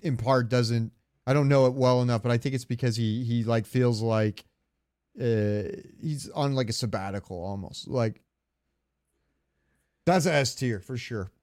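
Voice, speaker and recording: A man's voice: mid-range voice, a little slow, darkish tone, no echo, clean studio sound. A man co-hosting a casual podcast, talking in a rambling, enthusiastic fan's way. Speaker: podcast co-host. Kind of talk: casual chat about games.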